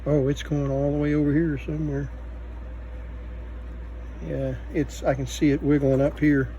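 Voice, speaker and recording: A man with a relaxed, mellow voice pitched a little high talks through a hands-on repair. He goes slowly and haltingly, muttering his thoughts aloud as he works. There is faint noise behind him. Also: muffled recording. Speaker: vintage electronics repair video host. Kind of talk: unscripted hands-on repair commentary.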